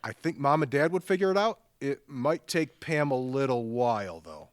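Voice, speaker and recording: A man plays a sardonic but supportive older brother in an audio drama. He teases drily, with lively swings in a mid-pitched voice. The recording is close and dry. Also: moderate pace, no room sound, natural voice. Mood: playful, wry. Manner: dry, teasing humor.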